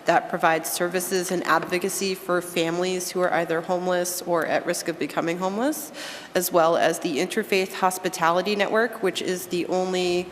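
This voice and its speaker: A woman on a school committee, making announcements at a meeting while fighting a cold and losing her voice, halting with many fillers. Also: on the low side, little pitch movement. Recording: echoey meeting hall with faint noise.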